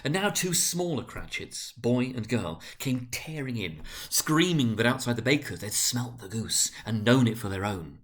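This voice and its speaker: A man narrating a classic story as a dramatic reading, in an expressive, theatrical manner. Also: medium pitch, moderate pace, hardly any pauses.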